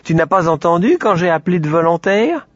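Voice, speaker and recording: A man's voice: high voice, big pitch swings, brisk, no echo, lo-fi sound, voice pushed up. Man doing a bossy little boy God. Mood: impatient.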